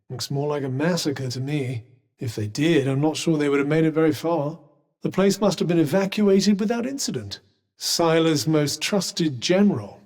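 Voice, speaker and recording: A man's voice: fairly high pitch, a little mellow, close to an everyday voice. A man with a British accent voicing a haughty, erudite magical cuff in a fantasy video game, with polished diction and dry sarcasm. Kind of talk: scripted game companion dialogue.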